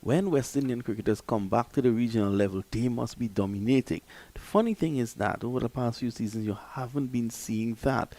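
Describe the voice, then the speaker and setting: A man calling live cricket in a lively, chatty way, swelling louder on big moments. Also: mid-range voice, brisk, few pauses. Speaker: cricket commentator.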